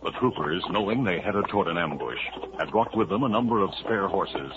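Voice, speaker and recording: A man giving scripted, measured narration for a 1950s radio drama. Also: deep voice, animated. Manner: measured storyteller, building to urgency in action scenes. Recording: muffled 1950s radio recording with loud hiss.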